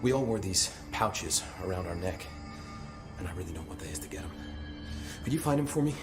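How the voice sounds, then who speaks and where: A man's voice: sits low, lively intonation, brisk, music in the background. An actor playing a friendly former ranger who talks casually and earnestly about his squad. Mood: worried.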